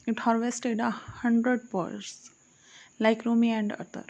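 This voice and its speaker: Female audiobook narrator, higher-pitched, solemn recital of archaic verse with self-corrections. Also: level tone, takes it slowly, darkish tone, dull audio. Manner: solemn recitation, correcting her own slips. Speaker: audiobook reader. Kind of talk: reading archaic poetry aloud.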